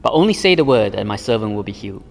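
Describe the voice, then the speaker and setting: A man's voice: fairly low pitch, sing-song, fast talker, vocal fry. A man reading the lines of a humble soldier-commander who earnestly pleads for his servant. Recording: long reverb, like a large hall.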